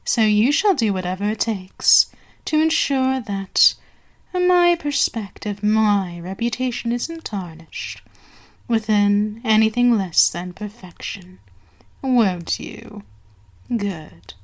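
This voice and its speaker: Slow, haughty vampire queen, acted by a woman. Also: medium pitch. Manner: haughty, domineering, menacing, drifting into breathy whispers. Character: imperious, seductive immortal vampire queen with fangs and wings. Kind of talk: ASMR roleplay acting.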